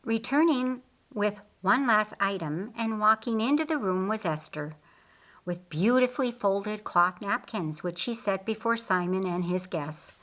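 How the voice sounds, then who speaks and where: A woman narrating an audiobook story with dialogue in an expressive storytelling manner. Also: mid-range voice, measured pace.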